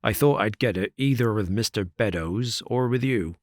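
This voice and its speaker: A man voicing a rough, sinister old sailor who talks slowly in coarse working-class speech. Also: fairly low pitch, no echo, clean studio sound, lightly acted. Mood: sly.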